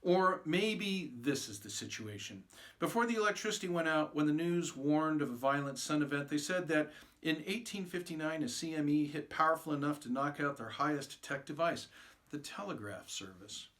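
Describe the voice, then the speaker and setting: A man's voice: on the high side, expressive, moderate pace, fairly bright tone. A man, an author, informally introducing and narrating his own short story.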